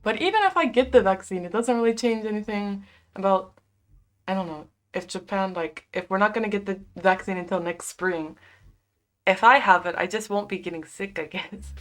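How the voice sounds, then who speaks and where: A young woman in her twenties chatting casually on a home livestream, reading viewer comments, full of "like" fillers and quick laughs. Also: mid-range voice. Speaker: livestream host. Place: small bedroom at home.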